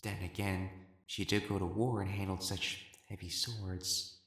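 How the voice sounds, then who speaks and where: A man's voice: low-pitched, little pitch movement, measured pace, a bit bright, husky, no echo, full character voice. A man voicing an elegant, effeminate male matchmaker in a scripted audio roleplay, prim and formal, haughty yet easily flustered. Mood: smitten musing.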